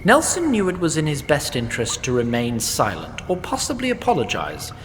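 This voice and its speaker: A man's voice: a little high, wildly swooping pitch, fairly quick, fairly bright tone, big-room sound, a little hiss or hum. Wry, formal male storyteller. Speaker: narrator. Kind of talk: scripted narration.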